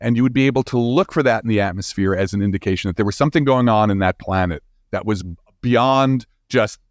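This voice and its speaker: A man's voice: on the low side, no room sound, clean studio sound. A man talking as a guest scientist in an interview, explaining in an informal, emphatic way and thinking aloud as he goes.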